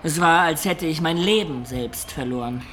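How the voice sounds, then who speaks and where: A man's voice: a little high, moderate pace, a bit bright, a little hiss or hum, lightly acted. An actor playing a roguish man of peasant birth, narrating his life colloquially and reflectively in a radio play.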